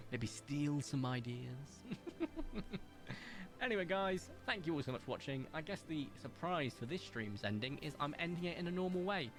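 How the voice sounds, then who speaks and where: A man streaming a game, chatting casually in a calm, smooth voice as he thinks aloud and rambles with fillers. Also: mid-range voice. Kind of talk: casual gaming livestream chat.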